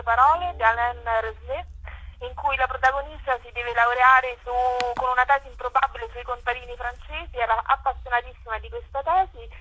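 A woman's voice: sits high, bright, forceful tone, rough recording. A woman speaking as a guest in a radio interview, explaining her project in enthusiastic, long flowing sentences.

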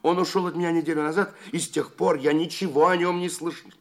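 An actor playing an obsequious, easily flustered shopkeeper in a Russian radio drama, hesitant and nervously repeating himself. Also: male voice, on the high side, fairly even intonation, quick pace, lightly acted. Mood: nervous, defensive. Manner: servile, repetitive, hesitant, nervous. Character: flustered, obsequious, indignant male London shopkeeper. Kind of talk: Russian radio play acting.

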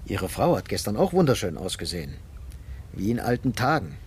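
An actor playing a polite, modest farmhand speaking deferentially in a naturalistic radio drama. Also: male voice, lowish voice, big pitch swings, brisk, darkish tone, a little hiss or hum. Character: polite, deferential male farmhand, young father.

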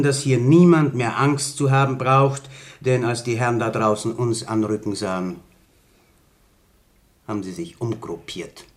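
An actor playing a confident republican militant in a radio play, reporting in a formal, emphatic, slightly ironic manner. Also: male voice, medium pitch, natural voice.

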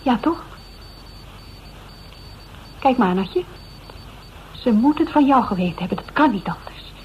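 A woman's voice: fairly high pitch, expressive, measured pace. An actress playing a direct, insistent woman who confronts a friend with familiar doubt in a Dutch radio drama. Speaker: actor. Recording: dull, noisy old radio recording.

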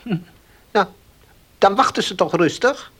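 Village baker, radio drama, scheming, insinuating, gruff, bossy, deliberate. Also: male voice, sits high, expressive, fairly bright tone, exaggerated voice. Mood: guarded, dismissive. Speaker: actor in a radio play. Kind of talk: Dutch radio play acting.

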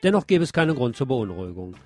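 A man's voice: medium pitch, big pitch swings, quick pace, a little mellow. Male radio news presenter over guitar music. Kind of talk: scripted news reading with wry asides.

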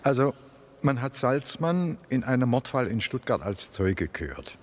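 Lively Swabian police chief. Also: male voice, medium pitch, unhurried, slight background noise. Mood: conspiratorial. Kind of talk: German radio drama acting.

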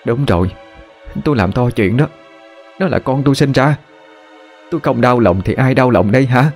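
A man voicing a frantic middle-aged mother who pleads in a high, wailing voice. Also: fast talker, darkish tone, soft background music, close to an everyday voice. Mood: indignant.

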